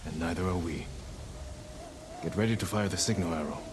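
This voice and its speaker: An actor playing a resolute samurai war leader in a video game, speaking in a measured, grave, commanding way. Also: male voice, sits low, noisy background, close to an everyday voice. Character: resolute samurai leader, solemn, loyal to his companions. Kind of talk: dramatic video game acting. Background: music.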